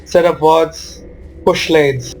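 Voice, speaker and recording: Male gamer, slightly high voice, casual match commentary, some background noise. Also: fairly even intonation, slow.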